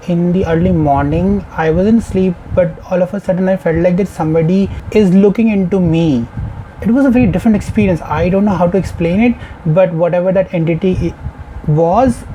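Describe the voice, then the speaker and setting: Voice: mid-range voice, dark tone, slight background noise, rough recording. A person with an Indian accent giving casual, musing, conversational reaction commentary. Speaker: reaction video host. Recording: music playing behind the voice. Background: music video soundtrack.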